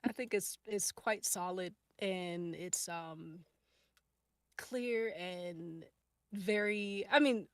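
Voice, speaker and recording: A woman's voice: lowish voice, very slow, dry recording, studio quality. A woman chatting casually as a panelist, thoughtful and hesitant as she works out her answers. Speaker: podcast cast or crew panelist. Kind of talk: casual chat.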